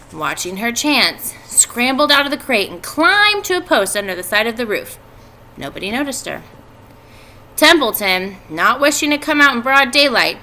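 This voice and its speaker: A woman in her thirties, a teacher reading a storybook aloud to pupils, expressive and clearly phrased. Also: mid-range voice. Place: indoors at home by a fireplace.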